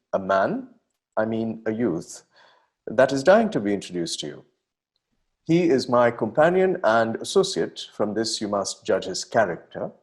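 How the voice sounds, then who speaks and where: An impassioned young poet of the nineteenth century, acted by a man, declaiming his letters in a dramatic reading. His delivery is florid and melodramatic, with a very animated pitch that rises and falls freely.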